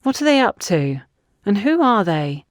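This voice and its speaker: A woman's voice: medium pitch, big pitch swings, a little slow, no room sound, clean studio sound. A woman voicing a direct, questioning younger woman in an audiobook. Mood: wary curiosity. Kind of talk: audiobook character dialogue.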